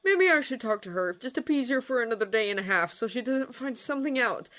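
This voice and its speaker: A woman's voice: fairly high pitch, animated, fast, a bit bright, pitched above the performer's own voice. A woman voicing an earnest, awkward young man who rides dragons, speaking hesitantly in a story reading. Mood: resigned.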